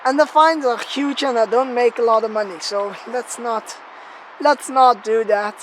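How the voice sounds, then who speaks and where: A woman's voice: on the high side, a little slow. A woman chatting casually and rambling as she presents a gear test outdoors, informal, sweary and self-deprecating, in a noisy location recording. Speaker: gear tester presenting a megaphone test video. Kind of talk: casual rambling vlog chat. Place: outdoors in an open area near a road.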